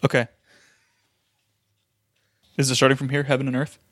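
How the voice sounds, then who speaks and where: A man's voice: mid-range voice, monotone, normal speed, fairly bright tone, no room sound. A man chatting casually while rehearsing as an amateur voice performer for a podcast.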